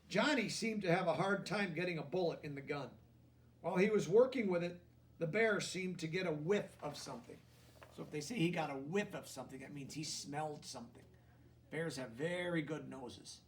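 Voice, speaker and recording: A man reading a children's picture book aloud, deliberately, with simple explanatory asides in plain words. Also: fairly high pitch, distant mic. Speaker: storybook reader.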